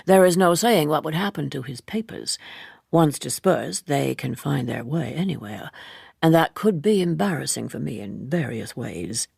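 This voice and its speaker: A woman voicing a suave, worldly gentleman in an audiobook, leisurely, wordy and teasingly ironic, an amused seducer. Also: low voice, gravelly, slightly rough, no echo, studio quality, full character voice. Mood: smooth, amused. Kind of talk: audiobook character dialogue.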